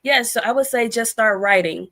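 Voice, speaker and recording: A woman's voice: fairly high pitch, normal speed, bright, forceful tone. A middle-aged American woman talking casually as an interview guest, in a chatty, storytelling way with conversational asides. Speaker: guest, debut novelist.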